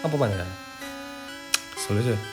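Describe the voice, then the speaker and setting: A man's voice: lowish voice, expressive, unhurried, a little mellow. A man with an East Javanese accent hosts a casual, slangy video, chatting and partly reading out an article, peppering his talk with interjections. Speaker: video host. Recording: background music under the talk.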